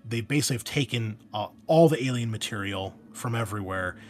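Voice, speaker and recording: A man's voice: fairly low pitch, a little fast, soft background music. A man hosting a casual introduction, talking in an enthusiastic, rambling way as a game master. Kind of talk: casual introduction talk to listeners. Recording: clear background noise.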